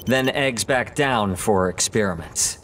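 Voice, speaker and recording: A man's voice: on the low side, unhurried, fairly bright tone, distant mic, slight background noise. A man acting a terse, matter-of-fact soldier giving a report in a science-fiction video game. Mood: grim, informative.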